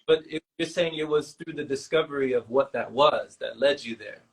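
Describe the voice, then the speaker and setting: A man in casual, reflective conversation, speaking appreciatively in halting, broken phrases. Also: mid-range voice, rough recording.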